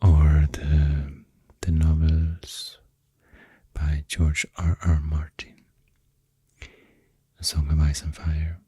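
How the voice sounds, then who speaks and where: Very deep, breathy Swedish man whispering softly. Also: monotone, dry recording, studio quality. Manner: gentle, soothing, unhurried. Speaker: ASMR video host. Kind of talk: soft-spoken ASMR rambling. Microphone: close microphone.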